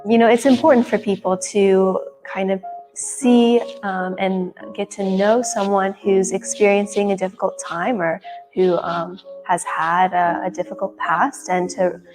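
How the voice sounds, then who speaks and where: A woman's voice: medium pitch, flat delivery, a little slow, poor audio. Woman, interview, reflective, fillers, music behind.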